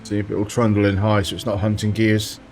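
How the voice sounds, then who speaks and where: A man with a slight sore throat giving relaxed commentary while playing a driving game, thinking aloud as he goes. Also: fairly low pitch, fairly quick. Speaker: let's play host. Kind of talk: casual gameplay commentary.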